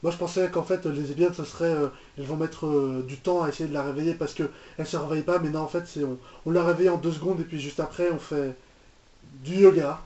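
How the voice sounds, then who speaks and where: A man's voice: fairly high pitch, steady tone, fast, warm tone. A man giving casual, off-the-cuff reaction commentary, hesitant, repeating words and trailing off. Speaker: reaction video host. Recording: echoey room, muffled lo-fi microphone.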